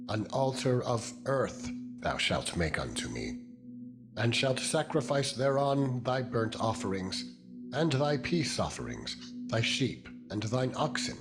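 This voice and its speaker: A man voicing God as a solemn, commanding lawgiver in a scripture drama, stately and deliberate. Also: medium pitch. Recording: background music under the voice.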